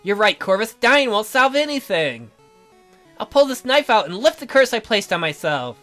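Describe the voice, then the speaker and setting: Girl doing a proud noble lady from a visual novel, solemn, troubled, sharp bright voice. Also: medium pitch, very animated, moderate pace, soft background music. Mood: resolved.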